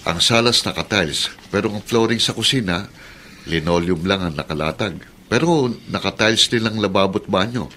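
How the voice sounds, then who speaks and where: An actor playing a firm, matter-of-fact man selling his small house in a radio drama, brisk and unbudging. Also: fairly low pitch, sharp tone, slight background noise. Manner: brisk, plain-spoken, unbudging.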